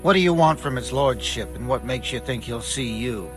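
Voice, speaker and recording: A suspicious castle gate guard, played by a male actor in a game drama. He challenges with mocking sarcasm in a mid-pitched voice, over faint music. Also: lively intonation, normal speed, close to an everyday voice. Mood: sarcastic, suspicious. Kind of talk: video game cutscene dialogue.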